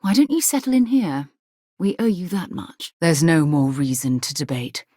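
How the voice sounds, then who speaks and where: A woman voicing a principled, curious space explorer in a video game, husky and articulate, with a composed, earnest delivery. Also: low-pitched, expressive, normal speed, warm tone, dry recording, studio quality. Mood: warm, earnest. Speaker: game voice actor. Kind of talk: video game companion dialogue.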